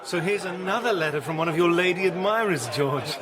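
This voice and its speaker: A jovial, teasing English officer in a period radio play. His fairly high voice needles and mocks, with a crowd clearly audible behind. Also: animated, brisk. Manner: mocking, jovial. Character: teasing, gossipy young English regimental officer. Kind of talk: period radio drama acting.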